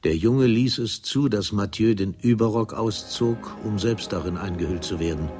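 A man's voice: fairly low pitch, normal speed. A man narrating a literary radio drama in a formal, solemn manner. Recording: music behind the voice.

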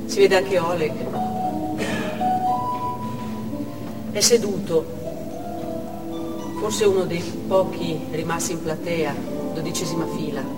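A woman's voice: on the low side, fairly even intonation, measured pace, lightly acted. An actress playing a grieving widow and mother torn between hatred and pity, in a dramatic stage monologue. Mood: grieving, subdued. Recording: distant radio excerpt under loud music.

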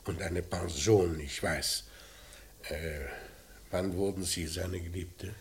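An actor playing a dry, quietly authoritative police inspector in a radio crime drama, asking terse questions. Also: male voice, deep voice, steady tone, takes it slowly. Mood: quietly probing. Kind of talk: German radio play acting. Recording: roomy sound with faint noise.

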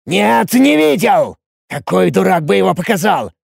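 A man voicing an enraged, panicking fantasy nobleman who shouts and snarls his curses in an audiobook. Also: high-pitched, very animated, moderate pace, gravelly, dry recording, clean studio sound, voice pushed up, full character voice. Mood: bitter, alarmed.

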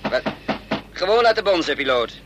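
An actor playing a bold, quick-witted fugitive in a Dutch radio play, urgent and commanding. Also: male voice, high-pitched, big pitch swings. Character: bold hunted fugitive without memory, quick-witted man of action. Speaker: lead actor and first-person narrator. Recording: noisy radio play recording with vehicle sounds.

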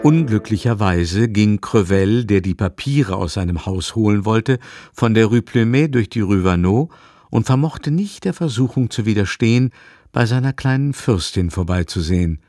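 An actor as a composed storyteller, narrating a literary period drama in a measured manner. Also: male voice, on the low side, animated, a little mellow, no room sound, studio quality.

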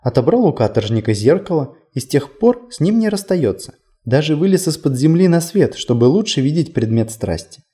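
A man's voice: fairly high pitch, sing-song, fairly quick, few pauses, mellow. A man voicing a whimsical, chatty male tour guide in an audiobook, conversational, playful and self-deprecating. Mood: amused, chatty. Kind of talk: audiobook character dialogue.

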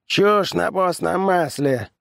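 A man voicing a cranky, boastful old drunk with a hoarse, tipsy tenor, as in an audiobook story. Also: high voice, slow, dry recording, clean studio sound, voice pushed up, full character voice. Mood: scornful heckling. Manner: boastful, belligerent heckling.

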